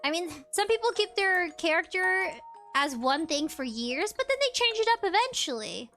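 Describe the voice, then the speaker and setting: A woman's voice: very high voice, big pitch swings, moderate pace, sharp tone, faint music behind. A woman streaming a game, chatting casually with viewers in a bubbly, giggly way. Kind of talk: casual live-stream chat while gaming.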